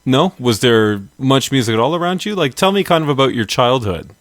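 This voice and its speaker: A man in his thirties from Canada hosts a radio interview in an upbeat, rambling, chatty way. His voice sits at an ordinary middle pitch. The recording is dry and close, with no room echo. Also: lively intonation, fairly quick. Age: 30s. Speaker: radio show host.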